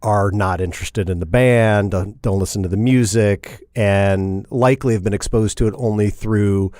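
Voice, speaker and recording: A man's voice: lowish voice, steady tone, moderate pace, a little mellow, no room sound, studio quality. A middle-aged man from Indiana, talking casually as a podcast guest, rambling and repeating and correcting himself. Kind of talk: casual interview.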